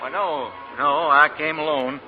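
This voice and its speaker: An actor playing an easygoing but wary Southern gentleman with a Southern accent, in 1940s radio drama style. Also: fairly high pitch, a little slow, close to an everyday voice. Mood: wary courtesy. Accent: American Southern. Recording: muffled 1940 radio broadcast, music behind.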